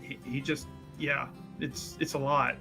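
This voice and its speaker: A woman's voice: extremely low pitch, fairly even intonation, measured pace, pushed and bright, husky, soft background music. A woman playing a tabletop roleplaying game, teasing and playful, narrating her character and joking with the others. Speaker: player.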